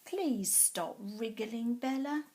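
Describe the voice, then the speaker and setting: A woman's voice: a little high, takes it slowly, a little mellow, husky. A woman voicing an exasperated mother in a storybook, pleading with and scolding her small daughter in a pointed way.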